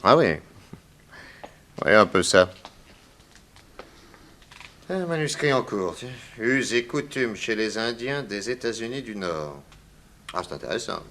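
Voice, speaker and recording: A man's voice: on the low side, animated, unhurried, long pauses, bright, forceful tone. A man playing a shrewd, probing police detective with a wry, insistent manner in a 1960s French radio drama. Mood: wry, suspicious. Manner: inquisitive, wry, casually insistent.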